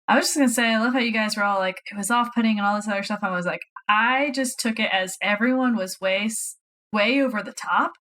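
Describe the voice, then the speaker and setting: A woman's voice: medium pitch, fast talker, fairly bright tone. A woman from the American South chatting casually as a podcast co-host. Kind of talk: casual chat.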